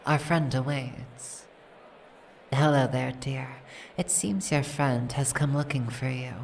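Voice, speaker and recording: A woman acts out a regal, reserved female dragon for an ASMR roleplay. Her voice is extremely low and creaky, and she speaks slowly, formally and guardedly. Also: a little mellow, a little hiss or hum, full character voice. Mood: composed, gracious.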